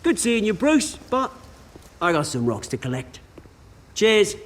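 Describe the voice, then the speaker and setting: A cocky British rogue from a cinematic game swaggers in a fairly high, wildly swooping voice. He is theatrical and mercurial, chummy and menacing at once. A faint ambient noise lies behind him. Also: wildly swooping pitch, a little slow, exaggerated voice. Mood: breezy.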